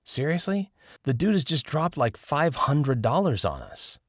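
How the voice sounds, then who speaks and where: A man's voice: on the high side, sing-song, measured pace, no room sound, pitched above the performer's own voice. A male narrator plays a young man in his twenties in an audiobook. He is polite, deferential and a little hesitant, his voice lifted slightly, with a dry sound around it. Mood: incredulous. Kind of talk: audiobook character dialogue.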